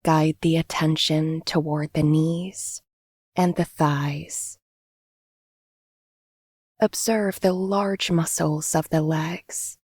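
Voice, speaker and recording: A woman gently guiding a body scan meditation in an unhurried, scripted, instructional way. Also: low-pitched, fairly even intonation, breathy edge, dry recording, studio quality. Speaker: meditation guide.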